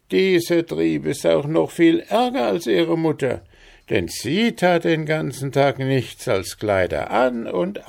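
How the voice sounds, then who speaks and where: A man doing a jailer telling a story, slow, gravelly. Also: fairly high pitch, voice pushed up, heavily acted. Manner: explaining, storytelling. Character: male jailer telling a court story, plain.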